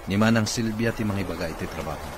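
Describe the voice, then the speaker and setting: Male actor as suspicious wheelchair-bound boss, deep, curt, irritable, radio drama, noisy recording. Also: fast, lightly acted. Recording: clearly noisy background.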